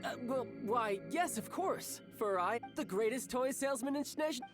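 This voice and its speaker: A man's voice: very high voice, normal speed, music in the background. An actor playing a cocky, playful young man in an anime game, with a breezy, theatrical delivery. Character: cocky, playful young male warrior posing as toy salesman.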